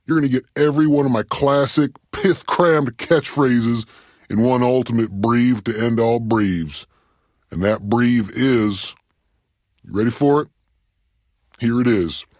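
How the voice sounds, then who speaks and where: Guy playing a goofy video game radio dj, deadpan n rambling, full of himself, dry close mic, average male pitch. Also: dry recording, natural voice. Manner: deadpan, rambling, trails off with ums. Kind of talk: comedic radio DJ patter.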